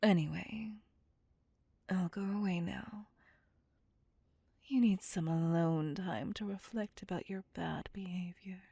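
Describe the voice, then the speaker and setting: A woman plays a possessive female vampire in an ASMR roleplay. She speaks slowly and breathily, close and dry, slipping between smug commands and teasing whispers. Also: fairly low pitch, vocal fry, dark tone, slightly rough, no echo, full character voice. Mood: smug, whispering. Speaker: voice actor.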